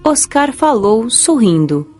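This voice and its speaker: A woman's voice: a little high, sing-song, slow, a little mellow, faint music behind. A woman giving scripted narration for an audiobook.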